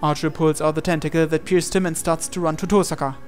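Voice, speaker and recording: A man's voice: a little high, level tone, quick pace, faint music behind. A man reading a visual novel aloud as a game player, in dramatic storytelling narration.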